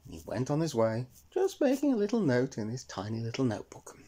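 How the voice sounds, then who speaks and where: Husky, very animated male storyteller. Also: medium pitch, fast. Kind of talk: children's story narration.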